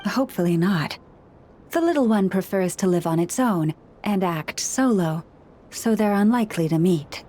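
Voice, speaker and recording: Game role: actress as wise, gentle friend of beasts, mid-range voice, unhurried and reflective. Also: female voice, mid-range voice, slight background noise, close to an everyday voice. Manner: calm, measured, softly reflective. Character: gentle woman who speaks with animals, wise and serene. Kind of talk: video game voice acting.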